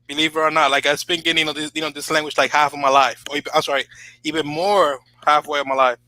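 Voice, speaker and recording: A man whose first language is Spanish, chatting casually as the host of a talk show, rambling with many fillers and rising to animated emphasis. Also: on the high side, fast talker. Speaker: channel host. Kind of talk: casual podcast chat.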